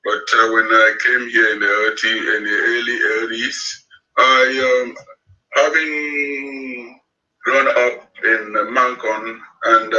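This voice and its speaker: A Cameroonian man in his sixties speaking as an interview guest, slow and halting with many fillers. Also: lowish voice, pushed and bright. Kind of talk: remote interview. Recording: distant, dull remote call audio.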